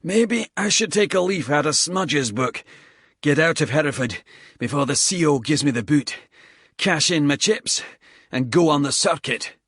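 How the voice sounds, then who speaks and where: Male narrator doing a blunt, sweary soldier, winded, husky, louder than the narration. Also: on the high side, animated, normal speed, no echo, studio quality, voice pushed up. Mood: brooding. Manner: blunt, sweary, breathless between words. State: out of breath.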